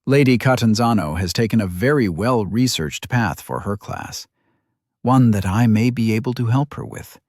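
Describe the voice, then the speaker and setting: A man's voice: on the low side, lively intonation, a little mellow, no room sound, clean studio sound. A man voicing a dignified old elf mage in an audiobook, patient and formal, choosing words precisely. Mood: earnest, persuasive. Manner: formal, measured, precise wording.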